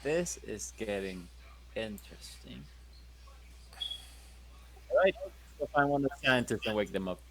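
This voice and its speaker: A man's voice: fairly low pitch, animated, unhurried, pauses often, a little hiss or hum. A man playing in a tabletop role-playing game, chatting casually, joking and swearing. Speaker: player.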